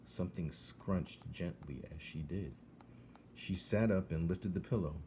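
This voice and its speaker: A man reading a children's novel aloud as narrator, in an expressive storytelling style. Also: low voice, unhurried, a little hiss or hum. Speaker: storybook reader.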